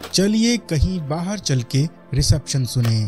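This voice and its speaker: A man's voice: on the high side, big pitch swings, unhurried, dark tone, soft background music. A man with an Indian accent giving a product demonstration voice-over as a reviewer.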